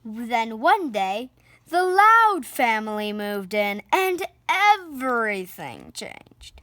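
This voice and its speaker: A woman reads a children's picture book with theatrical expression. Her high voice swings widely in pitch and moves very slowly, savouring the sound words. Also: very animated, sharp tone, no echo. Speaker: storybook reader.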